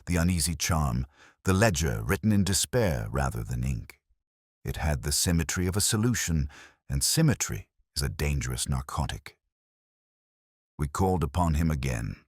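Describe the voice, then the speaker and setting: A man acting a brooding police inspector from a detective audio drama, with an extremely low voice. He narrates his case in measured, literary phrases, melancholy and introspective. The pitch moves expressively, and the recording is dry and close. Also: no echo, exaggerated voice. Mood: wry, pensive.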